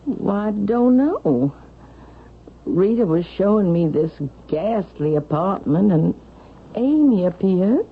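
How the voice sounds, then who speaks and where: A woman's voice: mid-range voice, very animated, slow, breathy edge, heavily acted. An actress playing a proud, imperious older mother who hides her fear, in a 1970s radio drama. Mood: disdainful. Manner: imperious, disdainful. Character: haughty, proud, fearful older mother, once wealthy. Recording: tinny, hissy vintage radio recording.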